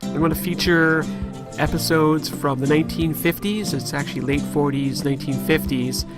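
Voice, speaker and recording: A man's voice: fairly high pitch, normal speed. A man hosting a gaming video, giving a casual introduction as a voice-over. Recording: voice-over under loud plucked guitar music. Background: loud guitar music.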